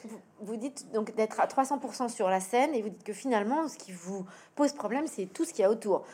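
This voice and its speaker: Woman, interviewer, fairly high pitch, conversational questioning style. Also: brisk, darkish tone, husky.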